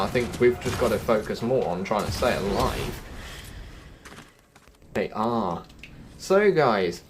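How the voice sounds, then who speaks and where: A man's voice: fairly low pitch, slight background noise. A man giving casual gameplay commentary as a video host, informal and a little stumbling in how he talks.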